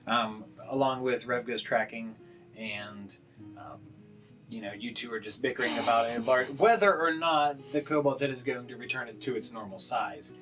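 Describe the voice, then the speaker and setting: A man narrating a live tabletop roleplaying game as game master, casual and halting as he improvises. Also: medium pitch. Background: plucked guitar music.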